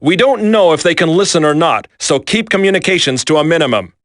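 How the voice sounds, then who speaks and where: A stern squad leader in a video game. He briefs with clipped, emphatic authority in a slightly high voice, recorded dry and close. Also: expressive, brisk, fairly bright tone, no room sound. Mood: focused, commanding. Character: stern, no-nonsense male special forces team leader.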